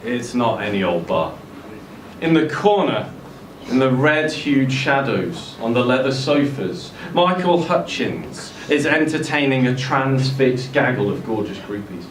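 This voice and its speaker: A man's voice: medium pitch, sing-song, unhurried. A man narrating a short story dramatically at a live indoor event. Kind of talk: dramatic live fiction reading. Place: bar event room, indoors. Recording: roomy distant live-event recording, faint noise.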